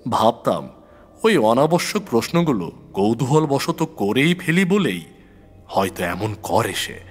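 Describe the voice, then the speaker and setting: A man's voice: mid-range voice, very animated, a little slow, breathy edge. A man narrating a scripted horror story in the first person, in a subdued, literary storytelling manner. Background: music.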